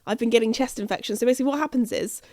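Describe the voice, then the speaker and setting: British woman, croaky and husky, rambling podcast chat, fairly high voice, dry recording. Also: racing, dark tone, no room sound. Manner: chatty, rambling, self-interrupting. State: croaky, husky voice from a chest infection. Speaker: podcast host. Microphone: podcast microphone.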